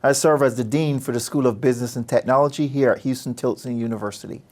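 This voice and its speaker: A man from Barbados, a business school dean speaking about his program in a measured, earnest, persuasive way. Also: mid-range voice, fairly even intonation, darkish tone. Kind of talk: promotional interview talk.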